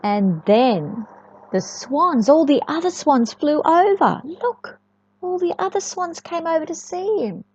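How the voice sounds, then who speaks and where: A woman storyteller reads to small children in a fairly high, very expressive voice. She adds chatty asides and repeats words for emphasis. The recording is close and dry, slightly dull. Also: slow, no room sound. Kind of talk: picture-book storytelling to young children.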